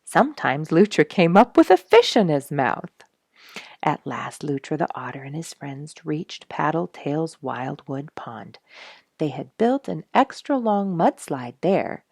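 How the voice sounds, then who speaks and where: A woman reading a children's storybook aloud in an expressive, emphatic manner. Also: deep voice, measured pace, no echo, clean studio sound. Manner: expressive, emphatic on sound words. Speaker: storybook reader and host.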